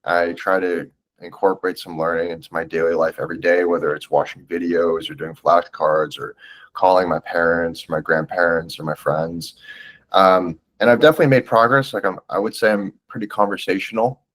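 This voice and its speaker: A man's voice: low voice, steady tone, a little fast, fairly bright tone, low-quality recording. A man in his twenties from the United States talks as a podcast guest, earnest and reflective, with a loose, filler-heavy way of speaking. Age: 20s. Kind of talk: casual interview chat.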